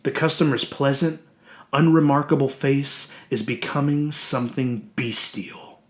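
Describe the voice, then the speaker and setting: A man reading a horror story as dramatic, scripted narration, deliberate and suspenseful, dwelling on his words. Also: medium pitch.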